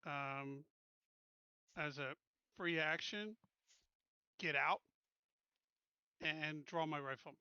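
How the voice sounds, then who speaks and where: Bright, higher-voiced man playing a tabletop game. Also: extremely slow, long pauses, clear, resonant tone, no echo, dull audio. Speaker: player in a tabletop roleplaying game. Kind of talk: casual tactical table talk.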